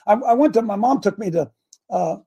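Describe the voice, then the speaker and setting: Gravelly hoarse older man. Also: high voice, lively intonation, brisk, dark tone. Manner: rambling, clears throat often. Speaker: show host. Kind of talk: casual live chat show.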